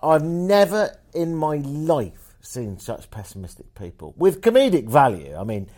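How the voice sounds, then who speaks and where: A man's voice: on the high side, big pitch swings, a little slow, darkish tone, soft background music. A man in casual conversation, teasing and wry, painting pictures for his listener. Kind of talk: casual chat.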